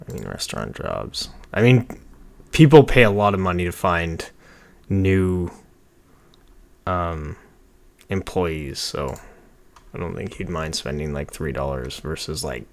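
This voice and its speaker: A man's voice: sits low, slow, pauses often. A man in his thirties giving an informal screen-share tutorial, thinking aloud in a rambling way as he works at his computer. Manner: rambling, informal, muttering to himself while working. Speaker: online marketing tutorial host. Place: small indoor room at a computer.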